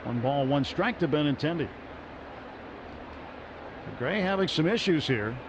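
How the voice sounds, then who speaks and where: Guy doing radio baseball calls, steady then jumps up on big hits, dull muffled audio. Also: on the high side, a little slow. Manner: steady, rises sharply on big plays. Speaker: play-by-play announcer. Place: ballpark broadcast booth. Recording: muffled radio broadcast with crowd noise.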